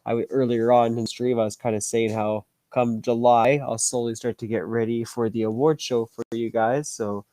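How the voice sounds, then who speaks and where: A man's voice: mid-range voice, level tone, normal speed, few pauses, dark tone, no room sound. A man with a nasal Canadian accent, hosting a casual podcast and wrapping up the episode with his guest. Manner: friendly, encouraging interjections. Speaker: podcast host. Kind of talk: casual chat.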